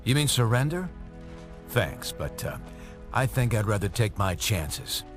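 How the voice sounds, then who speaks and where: A man's voice: lowish voice, sing-song, a little slow. A man playing a defiant, principled veteran soldier superhero with a wry manner in a video game. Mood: wry defiance. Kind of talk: video game cutscene acting. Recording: voice over loud music.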